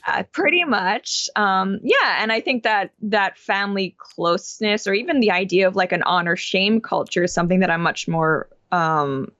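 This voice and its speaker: A woman's voice: medium pitch, normal speed, hardly any pauses, fairly bright tone, no room sound, dull audio. A young woman as an interview guest, talking in a thoughtful, casual way with many fillers and restarts.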